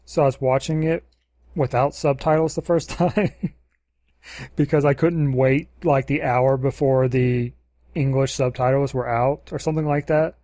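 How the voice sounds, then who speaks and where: A man chatting casually as a podcast co-host, low-key and noncommittal, hesitating as he searches for words. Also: fairly even intonation, normal speed, warm tone, no room sound, studio quality. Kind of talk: casual chat.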